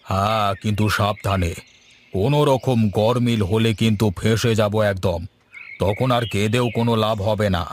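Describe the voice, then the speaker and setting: A man's voice: on the low side, fairly even intonation, moderate pace, a little hiss or hum, close to an everyday voice. A man voicing a scheming, greedy village boss, a commanding and wary villain in a cartoon moral story.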